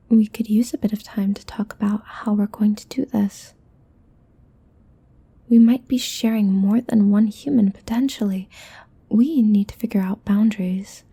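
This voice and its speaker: A woman voicing a playful, possessive demon in a soft, breathy, coaxing whisper for an ASMR roleplay. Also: medium pitch. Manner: slow, coaxing, teasing.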